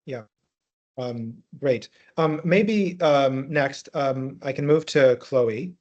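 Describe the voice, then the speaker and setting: A man hosts a webinar in a measured, formal manner, often pausing as he builds long questions. His pitch sits in the middle range for a male voice. The sound is dull and lo-fi, as on a web call. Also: darkish tone. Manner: halting, measured, roundabout phrasing. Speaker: webinar moderator. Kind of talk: moderated panel questioning, formal but unscripted.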